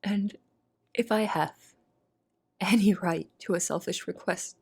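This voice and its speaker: A woman's voice: medium pitch, slow, a little mellow, husky. A woman playing a young, anxious, secretive cook who narrates an audio drama in the first person. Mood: shaken, grieving.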